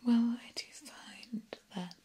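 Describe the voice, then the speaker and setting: Slow, whispering young woman as vampire countess. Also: a little high, steady tone. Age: young adult. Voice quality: breathy whisper. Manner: slow, hushed, deliberate, with long pauses. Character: genteel, sinister vampire countess running a beauty spa. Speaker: ASMR performer. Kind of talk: ASMR roleplay. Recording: clear background noise and ambience.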